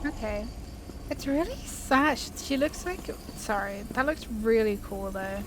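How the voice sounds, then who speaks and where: A woman with a highish voice talks casually through her gameplay, musing aloud in an informal way. Loud game noise runs behind her. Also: animated, measured pace. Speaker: gamer, video creator. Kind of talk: casual let's play commentary.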